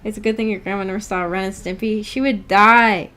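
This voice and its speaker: A woman's voice: medium pitch, steady tone, a little fast, pushed and bright. A woman hosting a livestream, chatting casually and reading out viewer comments in a giggly, chatty way. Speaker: streamer and host.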